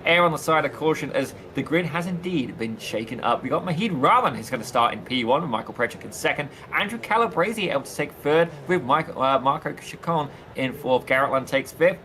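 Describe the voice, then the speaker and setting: A man doing theatrical play-by-play commentary for a sim racing league, addressing viewers as ladies and gentlemen. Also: medium pitch. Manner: excitable, theatrical, keeps saying "ladies and gentlemen" and "indeed". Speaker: lead race commentator. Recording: noticeable background noise.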